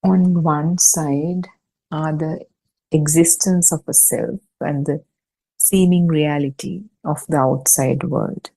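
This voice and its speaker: A woman reading a religious discussion text aloud for an online study session, in a measured, deliberate way with pauses between short phrases. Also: low voice, steady tone, vocal fry, warm tone, low-quality recording. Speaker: reader and host of an online Buddhist study session. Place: online video meeting.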